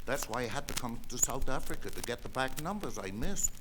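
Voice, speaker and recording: A man's voice: medium pitch, lively intonation, a little fast, fairly bright tone, lightly acted. A man playing a folksy, ungrammatical American slob with an American accent in a radio comedy. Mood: protesting. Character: brash American male slob. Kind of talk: 1970s radio comedy acting. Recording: noisy old radio tape.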